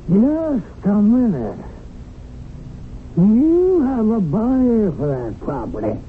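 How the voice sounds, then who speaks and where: An actor in a 1970s radio drama playing an excitable, gullible land buyer with a huffy, emphatic manner. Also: male voice, high-pitched, extremely slow, heavily acted. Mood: suspicious, excited. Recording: tinny band-limited old radio broadcast, hissy.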